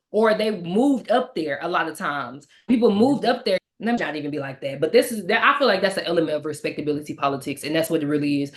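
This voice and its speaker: A woman with a Texan accent in a casual book discussion, talking emphatically and firing off rhetorical questions. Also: lowish voice. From Texas.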